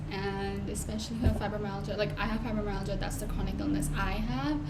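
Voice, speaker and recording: An eighteen-year-old woman talking to camera in an unscripted, rambling way, full of "like" and "um" fillers and restarts. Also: medium pitch. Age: late teens. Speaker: vlogger. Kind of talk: unscripted casual talk to camera. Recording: noisy home recording.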